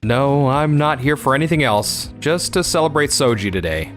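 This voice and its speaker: A man's voice: mid-range voice, animated, moderate pace, faint music behind, natural voice. A man playing a polite, unassuming farmer son in a manga dub, speaking in an earnest, matter-of-fact way. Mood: calm, firm. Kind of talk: manga dub narration and dialogue.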